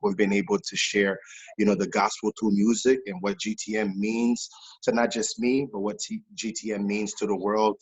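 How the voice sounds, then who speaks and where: A man from Haiti, a pastor and music missionary, talking in an animated interview with many fillers and repeated phrases. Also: fairly low pitch, normal speed, breathy edge, rough recording. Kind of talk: casual interview testimony. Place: New York based, remote interview.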